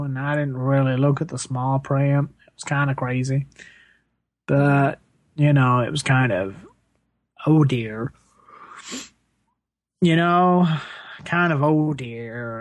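A performer playing a whiny, earnest working-class space plumber in an improvised mock interview, rambling in a plaintive deadpan. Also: medium pitch, dry recording, natural voice. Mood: resigned.

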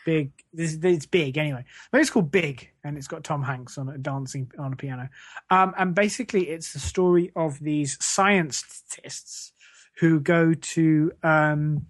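A woman's voice: sits low, a little slow, no echo. A woman co-hosting a comedy podcast, chatting in a rambling, hesitant, faux-naive way. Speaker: podcast co-host. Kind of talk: casual comedy chat.

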